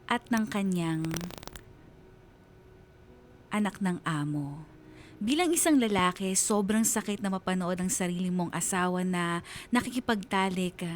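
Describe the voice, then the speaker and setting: A woman's voice: on the low side, a little hiss or hum, natural voice. A woman acting a betrayed, sorrowful Filipino husband who narrates a radio drama in an earnest, confessional way. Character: sorrowful betrayed husband confiding his story.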